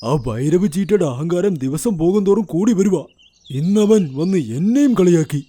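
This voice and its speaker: A man voicing an aggrieved, respected old grandfather elephant who complains in an animated fairy tale. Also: high-pitched, normal speed. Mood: hurt, indignant. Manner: indignant, complaining. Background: music.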